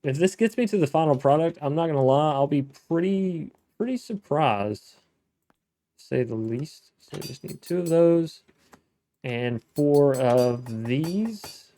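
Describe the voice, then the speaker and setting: A man's voice: fairly high pitch, lively intonation, a little slow, mellow. A man hosting a gameplay video, talking casually to himself as he plays, thinking aloud with restarts. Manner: chatty, thinking aloud, frequent restarts and self-talk. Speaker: gaming let's-play host.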